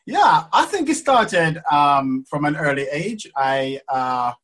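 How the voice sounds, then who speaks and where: A man from Jamaica with a Jamaican accent, a guest in an interview who speaks hesitantly with many pauses and laughs easily. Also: a little high, sing-song, a bit bright. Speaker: guest florist. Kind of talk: casual interview chat.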